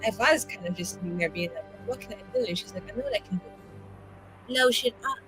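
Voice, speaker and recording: A woman with a bright, forceful voice at a middle pitch talks about her game character. She is wry, dramatic and rambling in casual chat. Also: fast, soft background music, low-quality recording. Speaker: player on tabletop roleplaying show.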